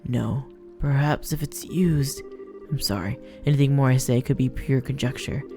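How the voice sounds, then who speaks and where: A woman voicing a grave old male professor from a fantasy game in a measured, formal manner. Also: a bass voice, mellow, faint music behind.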